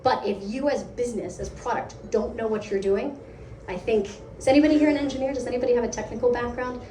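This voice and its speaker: Brief: American woman presenting at a meetup, fairly high voice, lively and chatty, echoey hall, distant mic. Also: fast, slight background noise. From United States. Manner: lively, conversational, asks the audience questions. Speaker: product lead giving a meetup talk. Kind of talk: live conference talk. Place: event hall.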